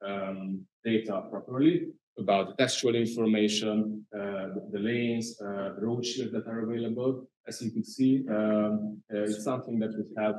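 A man with a Hungarian accent giving a conference presentation, halting often with filler sounds. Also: on the low side, darkish tone, poor audio. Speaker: conference speaker. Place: conference hall.

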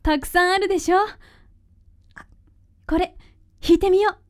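A woman playing a cheerful, teasing young girlfriend in a radio drama, with a bright, girlish manner. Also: extremely high pitch, steady tone, moderate pace. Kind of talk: Japanese radio drama acting.

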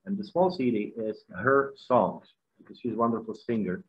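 Middle-aged Czech man, earnest webinar guest; slightly low, husky, heard over a dull laptop mic. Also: moderate pace. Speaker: guest musician. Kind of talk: webinar video call conversation. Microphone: video call laptop mic. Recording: dull lo-fi video call audio.

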